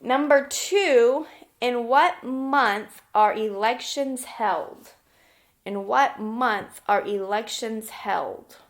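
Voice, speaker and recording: A woman's voice: a little high, extremely slow. A woman elementary school teacher reading aloud to young children and explaining things simply, often checking in as she gives instructions. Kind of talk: children's read-aloud lesson with questions.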